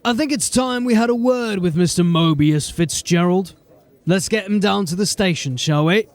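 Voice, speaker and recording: A man playing a brisk, dryly authoritative police sergeant in a fantasy audio drama, speaking in a clipped, formal, wry way. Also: high voice. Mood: dry, determined.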